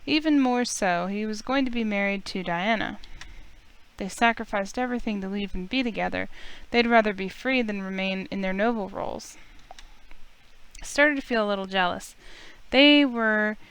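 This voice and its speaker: Woman reading game narration out loud, clean smooth voice, normal pitch. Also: normal speed, rough recording. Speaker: visual novel player and narrator. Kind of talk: scripted narration read aloud.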